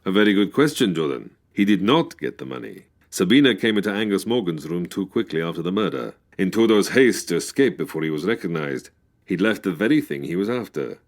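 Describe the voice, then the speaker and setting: A man's voice: deep voice, animated, fairly quick. A man playing a formal, precise, easily exasperated police official in a 1940s-style radio detective drama. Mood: measured, matter-of-fact.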